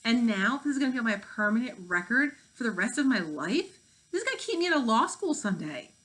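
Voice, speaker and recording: A woman's voice: on the high side, big pitch swings, fast, fairly bright tone, voice pushed up, poor audio. A woman reading the part of a prim, indignant fifth-grade overachiever, a girl who sounds aggrieved.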